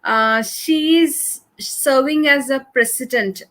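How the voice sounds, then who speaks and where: A woman from India hosting a talk show, introducing her guest enthusiastically with halting phrasing and fillers. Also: high-pitched, fairly bright tone. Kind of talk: casual interview hosting.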